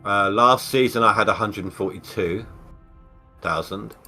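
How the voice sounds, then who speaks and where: Man, stream host, casual chat, bright tense voice, faint music. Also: on the low side, unhurried.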